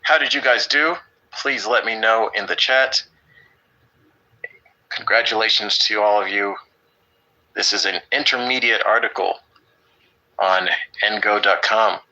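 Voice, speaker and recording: A man with a low, deep voice leads an online English class in an upbeat, teasing way. His tone is bright and forceful. The call audio comes through muffled. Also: unhurried, pauses often. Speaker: English teacher.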